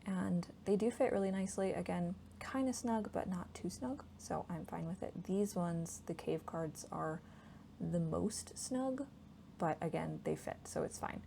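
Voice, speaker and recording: A woman doing a casual, soft-spoken ASMR voiceover, hushed, gentle and informal, breathy at times. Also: lowish voice, a little slow. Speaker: host of a board game ASMR channel. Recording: steady background noise from a laptop. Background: laptop fan noise.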